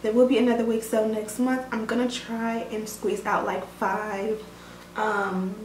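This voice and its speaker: Chatty woman with a scratchy, hoarse voice. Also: fairly high pitch, little pitch movement, measured pace, darkish tone. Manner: chatty with many fillers. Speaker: beauty vlogger reviewing a wig. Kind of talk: casual product review talking to viewers. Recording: roomy room sound, mic at a distance, faint noise.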